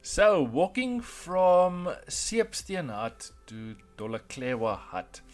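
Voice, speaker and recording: A man's voice: fairly high pitch, sing-song, takes it slowly. Afrikaans-accented man vlogging on a hike. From South Africa. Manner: plain, encouraging, with frequent fillers. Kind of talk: casual hiking vlog narration. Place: outdoors on a rainforest trail.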